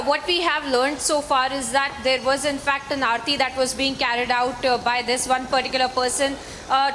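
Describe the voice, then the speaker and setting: A woman reporter with an Indian accent files a live report in wordy, run-on sentences. Her voice is high and bright. The link is reverberant, with some background noise. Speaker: news reporter.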